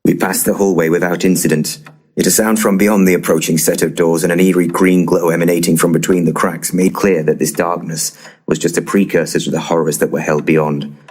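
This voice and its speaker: An actor playing a solemn, long-winded male paranormal investigator with a formal, ponderous deadpan, in a comedic audio drama. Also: low-pitched, a little fast, husky. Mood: solemnly narrating.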